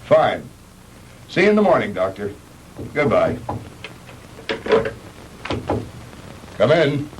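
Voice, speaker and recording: A man playing a calm, frank middle-aged hospital doctor in a measured, matter-of-fact 1960s B-movie style. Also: mid-range voice, lightly acted. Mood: businesslike. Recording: noisy old 1960s film soundtrack.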